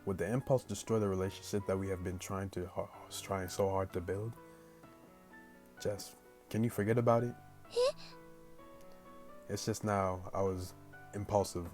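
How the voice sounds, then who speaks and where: Low-voiced man with music behind him. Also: normal speed, long pauses. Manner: slangy, animated asides, breaks into laughter. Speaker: gaming video host reading a visual novel aloud. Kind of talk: casual let's play, reading story aloud with excited reactions.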